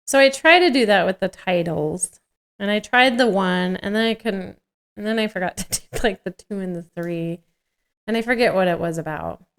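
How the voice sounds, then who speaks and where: A woman's voice: medium pitch, normal speed, fairly bright tone, smooth. A woman co-hosting a podcast, talking casually and informally through notes at a script read-through. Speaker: podcast co-host.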